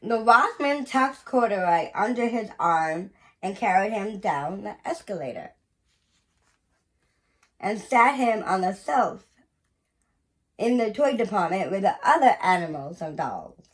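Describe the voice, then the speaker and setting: A woman reading a children's picture book aloud in an expressive, deliberate storytelling manner with pauses. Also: medium pitch. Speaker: museum educator reading aloud.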